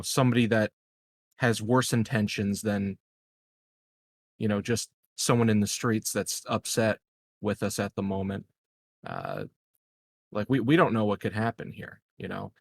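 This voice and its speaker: A man with a fairly low voice, recorded dry and close. He plays a tabletop roleplaying game, improvising his lines casually with hesitant, measured phrasing. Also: animated, creaky, dry recording. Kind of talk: casual in-character improvised dialogue.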